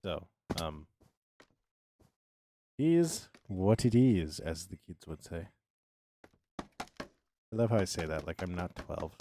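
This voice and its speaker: Man, thirties, game streamer, unhurried talk, bouncy intonation, hums filler syllables, repeats himself. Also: deep voice, lively intonation, background noise. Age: 30s. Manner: chatty self-talk, humming filler syllables and repeating words. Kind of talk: casual live-stream commentary while building in a game.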